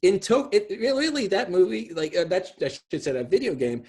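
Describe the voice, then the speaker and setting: A man's voice: high-pitched, very animated, a little fast, darkish tone, breathy edge. A man in his forties chatting effusively and ramblingly on a video call from a room at home. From small rural town. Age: 40s. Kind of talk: casual discussion on a video call. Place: small room at home, indoors. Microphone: computer on a video call.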